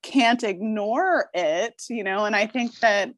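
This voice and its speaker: Animated woman guest talking astrology. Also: medium pitch, slow, no room sound. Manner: animated, opinionated, swears, lots of filler likes. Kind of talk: casual podcast chat.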